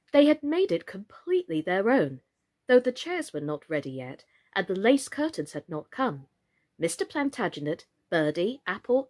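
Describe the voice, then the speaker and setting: A woman in her thirties reading a children's story expressively, in a measured and deliberate way. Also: medium pitch. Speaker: storyteller, actress. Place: small furnished room indoors.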